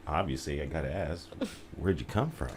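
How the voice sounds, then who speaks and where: A man hosting a casual interview, asking questions in a relaxed, playful way. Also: rumbling low, moderate pace, a little hiss or hum. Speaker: podcast host.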